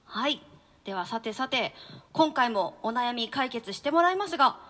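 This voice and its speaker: Female presenter with a high voice, chatting as co-host of a radio show. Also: expressive, moderate pace, fairly bright tone.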